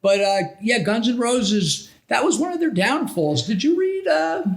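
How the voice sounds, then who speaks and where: A middle-aged man telling stories casually on a podcast, rambling and halting, often repeating phrases as he goes. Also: very high voice, expressive, gravelly. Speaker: podcast host.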